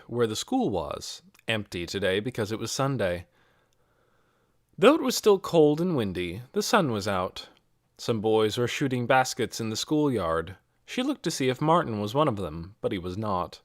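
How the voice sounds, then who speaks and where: A man's voice: medium pitch, very animated, normal speed, no echo, studio quality. A man reading a scripted novel aloud as an audiobook narrator. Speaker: audiobook reader.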